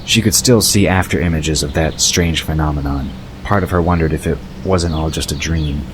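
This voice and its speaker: A man's voice: rumbling low, moderate pace, background noise. A man reading scripted narration for an audio drama.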